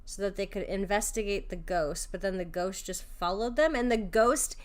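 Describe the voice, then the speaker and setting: A woman's voice: medium pitch, normal speed. A young woman streamer, recovering from sickness, giving casual, playful reaction commentary on a game in slangy speech. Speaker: streamer, vtuber.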